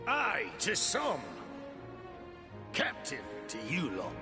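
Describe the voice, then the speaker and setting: Casting: swaggering video game pirate captain, gravelly, hugely animated delivery, game score underneath. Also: male voice, sits high, slow, over music, heavily acted. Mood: boisterous, mocking. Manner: pirate slang, swaggering, theatrical. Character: swaggering rough pirate captain, boisterous and mocking. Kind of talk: video game cutscene acting.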